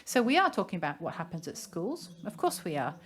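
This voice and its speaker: A woman giving a simultaneous interpretation of a seminar in a measured, formal way, pausing now and then to find her phrasing. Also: lowish voice, very animated. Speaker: conference interpreter.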